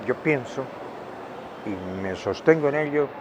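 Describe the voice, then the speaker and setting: An older man with a Colombian accent answering interview questions in a deliberate, emphatic way, often repeating words for weight. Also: medium pitch, animated. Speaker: interviewee, veteran radio director and journalist. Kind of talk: reflective interview talk. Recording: dull lo-fi, clear outdoor traffic noise.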